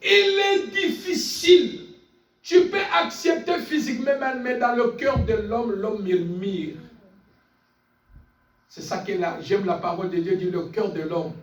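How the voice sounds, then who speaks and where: A man's voice: high-pitched, measured pace. A man preaching a fervent sermon, speaking emphatically and insistently, repeating phrases and calling on listeners for amens. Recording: echoey room, distant mic, faint music.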